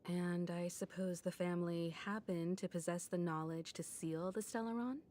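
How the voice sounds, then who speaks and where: Actress as low-voiced, composed, astute woman. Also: fairly even intonation, normal speed, darkish tone, a little hiss or hum, lightly acted. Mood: composed, inquiring. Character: composed, astute adult female game character. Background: game music.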